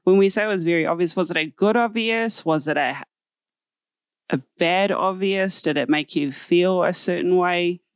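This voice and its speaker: Probing guest interviewer, mid-pitched voice. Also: moderate pace, smooth, no echo, clean studio sound. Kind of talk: interview questions.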